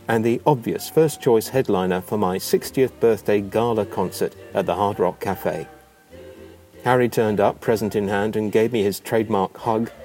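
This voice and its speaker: A man narrating a written memoir in an expressive, measured, theatrical storytelling manner. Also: lowish voice.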